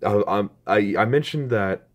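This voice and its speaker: A man in his twenties talks to his viewers through a phone camera, earnest and halting, with a strained throat. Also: fairly low pitch. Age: 20s. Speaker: YouTuber. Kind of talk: casual talk to camera.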